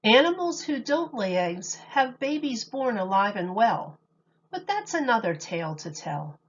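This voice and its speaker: A woman teacher reading a picture book aloud to young children in a deliberate, expressive storytelling manner. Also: a little high, slightly rough, lo-fi sound.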